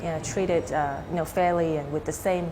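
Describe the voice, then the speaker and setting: A woman's voice: low-pitched, fairly even intonation, moderate pace, background noise. A woman hosting a talk show, asking casual interview questions in a hesitant, pieced-together way.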